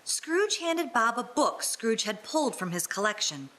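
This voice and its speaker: Woman, animated intonation, fairly high voice, scripted story narration. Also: a little slow. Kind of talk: scripted storybook narration, live radio play.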